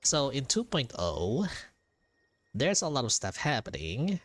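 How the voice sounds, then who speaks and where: A man's voice: mid-range voice, wildly swooping pitch, measured pace, no room sound. A man doing casual, chatty live gameplay commentary, often repeating himself. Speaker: game streamer. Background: soft game music.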